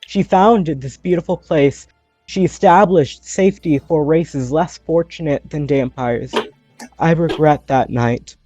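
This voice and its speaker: A man's voice: on the high side, unhurried, a little mellow, dull audio, faint music behind. A man playing a remorseful teenage vampire girl who pleads solemnly and earnestly in court in a tabletop game. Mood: solemn, regretful.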